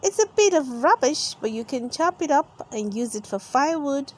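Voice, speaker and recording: Casting: storybook lady rabbit woman performer, high voice, blunt sales patter. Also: lively intonation, normal speed. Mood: persuasive. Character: Miss Rabbit, brisk, blunt female rabbit seller. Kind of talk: children's storybook read-aloud.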